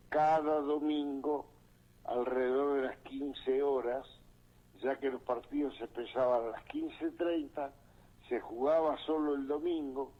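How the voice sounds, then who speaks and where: A man in his eighties from Uruguay, a sports journalist reminiscing in a radio interview, lingering over his words and repeating phrases. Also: medium pitch. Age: 80s. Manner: slow, savoring, repeats phrases warmly. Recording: dull lo-fi archived radio interview.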